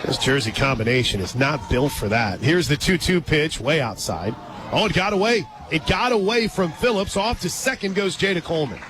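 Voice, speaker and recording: A man with an American accent calling softball play-by-play for radio in a conversational, excitable manner. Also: a little high, normal speed, background noise. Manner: conversational, excitable on big plays. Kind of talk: live softball commentary. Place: outdoor stadium radio booth. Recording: loud crowd and music, outdoors. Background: loud crowd and music.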